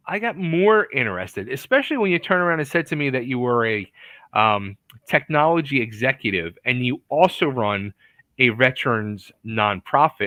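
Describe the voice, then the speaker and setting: A man hosting a relaxed interview show, chatty and animated, often restarting his sentences. His voice is bright and swings widely in pitch. It sounds dry and close, with no room sound. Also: no room sound. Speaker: podcast host. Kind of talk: casual interview chat.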